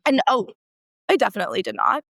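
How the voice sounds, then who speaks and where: A woman's voice: mid-range voice, wildly swooping pitch, quick pace, gravelly, a bit bright, no room sound, studio quality. American woman in her thirties, rambling and conversational. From San Diego, California, United States. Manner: rambling, self-correcting, emphatic repetition. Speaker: guest, therapist. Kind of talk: casual conversational interview.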